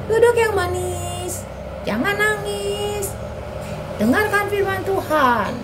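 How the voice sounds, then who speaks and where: A woman's voice: very high voice, slow pace, voice pushed up. A woman voicing a whiny, bored little girl character in a children's Sunday school skit, with a pouty, complaining delivery. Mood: bright. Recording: clear background noise.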